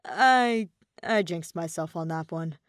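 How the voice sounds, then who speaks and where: A woman's voice: fairly low pitch, slow, dry recording, studio quality. A woman voicing a weary, awkward young male traveler in a fantasy story, hesitant and sighing. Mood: exhausted.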